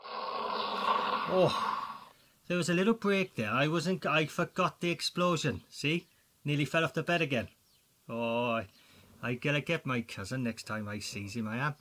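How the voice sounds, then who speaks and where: Excitable Welsh man hosting a quiz. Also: fairly high pitch, measured pace, pauses often, a little hiss or hum. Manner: chatty and excitable, with jokey asides. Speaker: quiz presenter for school pupils. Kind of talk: playful quiz commentary for children.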